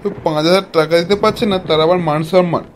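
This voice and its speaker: A man's voice: high voice, fairly even intonation, very fast, slight background noise, lightly acted. A man voicing a menacing, scheming, blustering husband in a comic cartoon. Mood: threatening. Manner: bullying, threatening. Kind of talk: comic cartoon villain dialogue.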